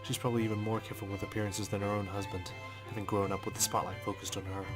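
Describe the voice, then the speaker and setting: A man reading visual novel text aloud as a let's player, in a measured, understated storytelling manner with brief asides. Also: on the low side, little pitch movement. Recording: music behind the voice. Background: game music.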